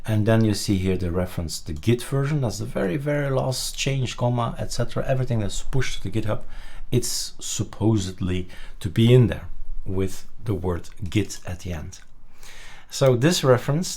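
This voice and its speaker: A man presenting a casual screen-recorded software tutorial, haltingly thinking aloud as he goes. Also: lowish voice.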